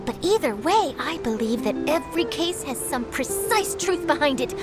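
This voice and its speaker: Very high-pitched, eager young reporter. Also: female voice, lively intonation, normal speed. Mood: intent, serious. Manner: perky, gushing, theatrical. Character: eager, ambitious young woman newspaper reporter, bubbly and dramatic. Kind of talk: anime game voice acting. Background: music.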